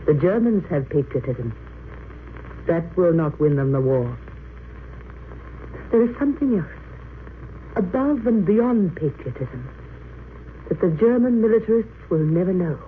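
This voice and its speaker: A condemned woman prisoner, composed and resolute, speaks in earnest, oratorical phrases. It is old-time radio drama acting, in a thin narrow-band recording with faint noise. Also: medium pitch. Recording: muffled, noisy vintage radio recording.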